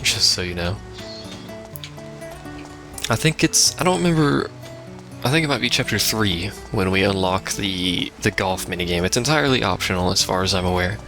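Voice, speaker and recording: A man's voice: low-pitched, lively intonation, moderate pace, pauses often. A man giving casual, rambling live game commentary as a let's play host, with wry asides. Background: video game music.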